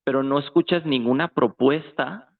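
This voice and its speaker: A man's voice: mid-range voice, moderate pace. A man with a Mexican accent in a casual political discussion, speaking hesitantly as he searches for words. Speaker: guest. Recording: tinny, phone-like call audio.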